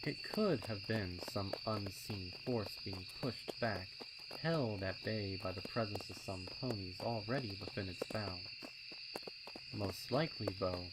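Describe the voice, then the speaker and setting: A man's voice: lowish voice, heavy background noise. Man narrating a story over night sounds. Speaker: narrator reading fan fiction. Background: crickets, insects, owl sound effects.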